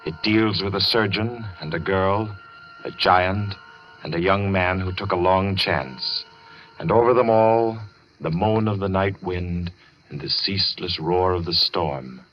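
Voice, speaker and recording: A man announcing and narrating in 1940s radio style, theatrical, deliberate and portentous. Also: low-pitched. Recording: muffled vintage radio broadcast. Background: loud dramatic music.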